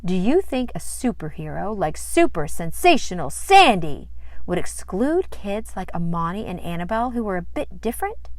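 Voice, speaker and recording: Patient storybook father voiced by a woman, gentle, reassuring, explaining, questioning, dry close sound. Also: mid-range voice, very animated, moderate pace, no room sound, close to an everyday voice. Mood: gently questioning. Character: gentle, reassuring, attentive father of a young girl. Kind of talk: children's storybook dialogue.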